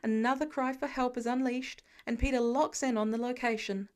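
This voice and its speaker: A woman reading scripted fiction as an expressive audiobook-style narrator. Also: fairly high pitch, normal speed. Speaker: podfic narrator.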